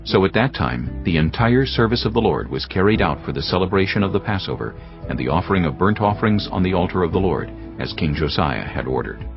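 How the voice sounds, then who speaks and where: A man narrating scripture from a script in a solemn, measured storytelling manner. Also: deep voice. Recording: dull band-limited sound, music underneath. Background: clear background music.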